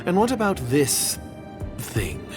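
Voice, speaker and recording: An actor voicing a suspicious, calculating covert agent in a video game, speaking formally with guarded disdain. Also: male voice, a little high, wildly swooping pitch, takes it slowly, exaggerated voice. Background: background music.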